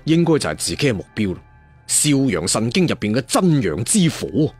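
Cantonese guy telling a fantasy serial, super expressive pitch, theatrical w/ little asides, bit of music under. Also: husky. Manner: theatrical, conversational asides, drawn-out emphatic endings. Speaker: storyteller narrator. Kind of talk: dramatic serial fantasy storytelling.

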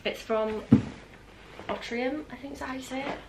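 A woman's voice: sits high, fairly even intonation, moderate pace, very noisy. A woman in her twenties with a British accent, chatting informally to the camera as a vlogger, drifting into little asides. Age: 20s. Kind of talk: casual chat to camera, unboxing. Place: open-plan living room at home, indoors.

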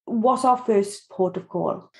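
A Welsh woman hosting a podcast, asking relaxed, chatty questions with a few fillers. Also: medium pitch, slow pace, vocal fry. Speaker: podcast host. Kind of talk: casual podcast interview.

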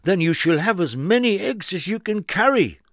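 A man's voice: high voice, sing-song, moderate pace, a bit bright, no room sound, studio quality, voice pushed up, heavily acted. A man voicing a worried, flustered male farmer in a storybook, anxious and pleading. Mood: grateful. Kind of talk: storybook character voice.

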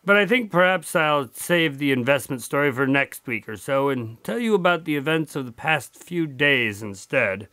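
An actor playing a doctor who tells his cases to a visitor, speaking in a measured, somber way. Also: on the high side, animated, pushed and bright, dry recording. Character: Dr. Watson's grandson, a doctor who narrates cases. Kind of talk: audio drama acting.

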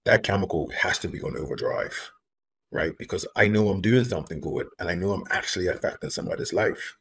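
A very low-voiced man from Bermuda speaks earnestly and deliberately, leaning on repeated phrases to drive a point home. He is a guest on a video call from a room at home. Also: expressive, vocal fry, a bit bright, a little hiss or hum. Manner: earnest, measured, repeats key phrases for emphasis. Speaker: guest, homeless advocate. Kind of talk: reflective interview talk. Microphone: video call, laptop camera.

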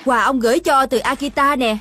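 A woman voicing a brisk, nagging young mother in a cartoon dub, scolding and instructing with a southern Vietnamese accent. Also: sits high, a bit bright, faint music behind.

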